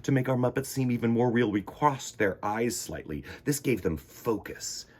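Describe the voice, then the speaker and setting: A man reading a children's picture book aloud in the first person, theatrical, sometimes dropping into hushed emphasis. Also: mid-range voice, animated, normal speed. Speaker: children's storytime reader.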